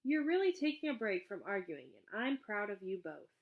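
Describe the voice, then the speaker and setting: A woman voicing a kind storybook mother, patient, encouraging and gently firm with her children. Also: medium pitch, sing-song, normal speed, narrow, tinny audio. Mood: approving.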